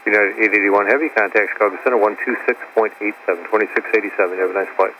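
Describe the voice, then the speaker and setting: Male air traffic controller, extremely quick delivery, dull noisy radio sound. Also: fairly low pitch, fairly even intonation, breathy edge. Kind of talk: radio instructions.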